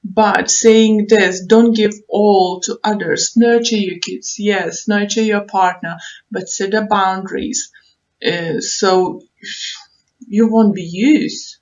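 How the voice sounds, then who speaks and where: Slow-paced woman giving a tarot reading. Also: mid-range voice, steady tone, a little mellow, dull audio. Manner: halting, repeats phrases, thinks aloud. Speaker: tarot reader, online show host. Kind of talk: unscripted tarot reading, advice to viewers.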